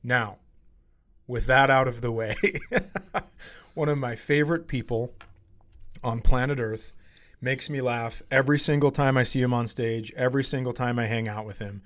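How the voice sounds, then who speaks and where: A man hosting a comedy podcast, telling casual, profane stories in an animated way and acting out the quotes. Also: medium pitch, moderate pace, long pauses. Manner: animated, profane, acts out quotes in his anecdotes.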